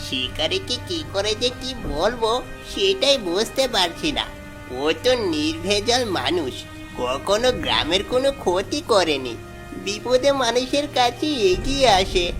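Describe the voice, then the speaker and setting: A woman's voice: medium pitch, big pitch swings, a little slow, music in the background. A woman playing an uneasy, emphatic villager in a cartoon fairy tale. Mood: troubled. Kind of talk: animated fairy tale character acting.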